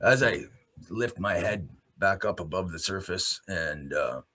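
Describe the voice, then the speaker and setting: A man delivers an opinionated, off-the-cuff commentary as a show host. His voice is forceful and rough-edged, and his pitch moves up and down in a very animated way. He is blunt and sardonic, leaning on pointed rhetorical questions and swearing. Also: measured pace. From Canada. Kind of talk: opinionated rant, spoken off the cuff.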